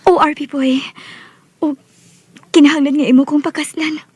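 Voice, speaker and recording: A woman's voice: sits high, flat delivery, normal speed, a little mellow, no room sound, close to an everyday voice. An actress playing a pleading, nagging young mother-to-be in a Bisaya radio drama. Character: pregnant young woman, pleading and nagging live-in partner.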